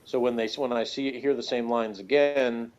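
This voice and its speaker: A man's voice: medium pitch, steady tone, normal speed, a little mellow, smooth. A man chatting casually as co-host of a review show, explaining himself in a hesitant, self-qualifying way. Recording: slightly dull, lo-fi sound.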